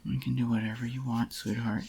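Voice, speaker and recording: A man playing a doting, possessive yandere boyfriend in a soft-spoken ASMR roleplay, with a tender, intimate murmur and a husky, slightly groggy voice. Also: medium pitch, level tone, a little fast. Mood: soothing.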